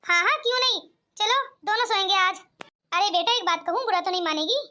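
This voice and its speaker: Woman as a motherly, very high cartoon auntie. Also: fairly quick, bright, forceful tone. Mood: coaxing. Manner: coaxing, motherly. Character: kindly middle-aged mother seeking a daughter-in-law. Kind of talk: amateur cartoon comedy skit.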